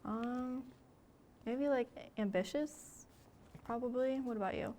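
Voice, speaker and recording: A woman's voice: fairly high pitch, slow pace, dark tone, a little hiss or hum. A young woman student journalist from Michigan, chatting casually and hesitantly, with lots of fillers. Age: young adult. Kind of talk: casual interview chat.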